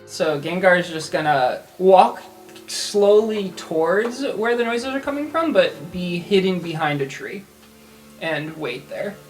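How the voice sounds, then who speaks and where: A player in an improvised tabletop game describes a character in a casual, halting way. The voice has a middling pitch, with background music. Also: animated.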